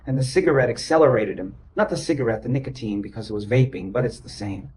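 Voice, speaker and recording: A man's voice: medium pitch, fast talker, darkish tone, a little hiss or hum, low-quality recording. A man speaking as a therapist in a therapy session, insistent and repetitive, often checking whether his listeners understand. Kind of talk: group therapy session talk.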